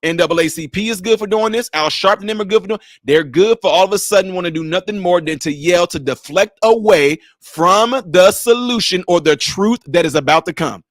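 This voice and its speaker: A man giving animated casual commentary on a video clip, with pointed rhetorical questions. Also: sits high, fairly quick, hardly any pauses, no echo, studio quality. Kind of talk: casual live-stream commentary.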